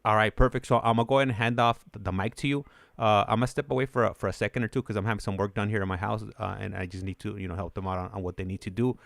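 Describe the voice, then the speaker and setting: A man hosting a casual call, talking in a halting, repetitive and agreeable way. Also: fairly low pitch, fairly even intonation, gravelly, fairly bright tone, dry recording. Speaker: show host. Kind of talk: casual phone conversation. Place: at home.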